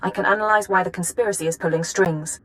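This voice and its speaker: A woman's voice: on the low side, fairly even intonation, quick pace, close to an everyday voice. A woman playing a composed but urgent intelligence analyst in a video game, speaking in a precise, businesslike way. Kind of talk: scripted video game acting.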